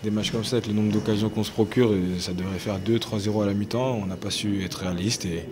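A man's voice: on the low side, steady tone, quick pace. A man giving a frank post-match interview. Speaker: footballer.

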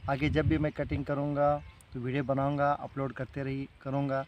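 A man's voice: mid-range voice, monotone, normal speed, muffled recording. A middle-aged man gives an informal, step-by-step farming demonstration, repeating himself as he shows each step. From India. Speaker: fruit farmer presenting a tutorial. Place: outdoors in an open farm field. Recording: clear outdoor background noise.

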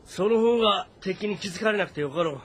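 A man's voice: sits high, fast, breathy edge. A man playing a rugged, mountain-wise warrior in a historical game, bantering familiarly with a comrade. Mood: wry, companionable. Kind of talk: dramatized historical game dialogue.

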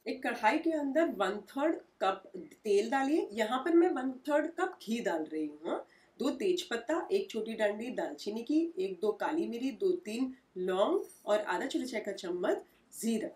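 A woman's voice: fairly high pitch, expressive, unhurried, darkish tone, far from the mic. A woman, hosting a home cooking tutorial and explaining each step in a homely way, recorded in her kitchen. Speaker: cooking channel host.

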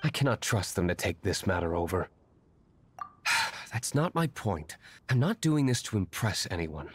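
A man's voice: sits low, very animated, unhurried, a bit bright, a little hiss or hum, exaggerated voice. A man voicing an aloof, brooding young noble vigilante in a video game, dry and formal. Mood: serious, curt. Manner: dry, formal, curt, disdainful.

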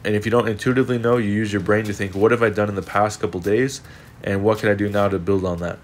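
A young man with a slightly low voice talks through a casual vlog voice-over. He sounds congested and sniffly. His delivery is earnest and reflective, and it wanders from thought to thought. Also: steady tone, fast talker, slight background noise. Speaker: fitness vlogger.

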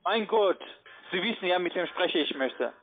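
Man, somewhat high voice, non-native speaker, call centre agent, phone-line sound. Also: animated, moderate pace, a little hiss or hum. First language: not German.

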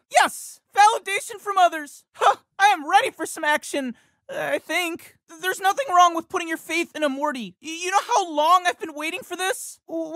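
A man's voice: very high voice, sharp tone, no room sound, studio quality, heavily acted. A man voicing an anxious, awkward fourteen-year-old cartoon boy for a video game, with a cracking adolescent voice and a hesitant, stammering delivery. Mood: nervous, eager. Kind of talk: video game character voice lines.

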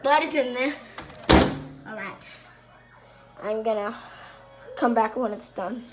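A woman's voice: fairly high pitch, takes it slowly, loud music behind the voice, pitched below the performer's own voice. A woman voicing a goofy, eager male plush toy who rambles cheerfully while cooking in a home kitchen, on a handheld phone. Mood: cheerful rambling.

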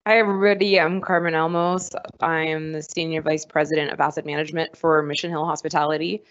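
A woman's voice: deep voice, flat delivery, fast talker. A woman executive speaking on a panel in a conference room, upbeat and friendly. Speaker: panelist, asset management executive. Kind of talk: panel discussion. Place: hotel conference room with an audience. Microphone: handheld microphone. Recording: dull lo-fi sound.

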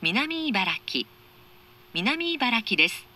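A woman making formal, measured, carefully articulated scripted train announcements over an onboard speaker. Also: on the high side, sing-song, bright, forceful tone. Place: recorded inside a train carriage.

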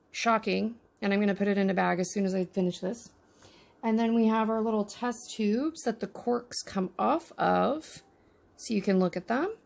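A woman's voice: medium pitch, gravelly. A woman casually narrating a miniature unboxing with chatty, wry asides. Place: indoors at a tabletop.

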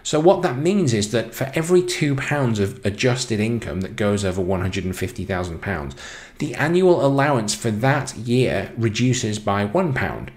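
Male presenter, lower register, measured scripted explainer read with announced points. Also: sing-song. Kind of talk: scripted explainer narration over slides.

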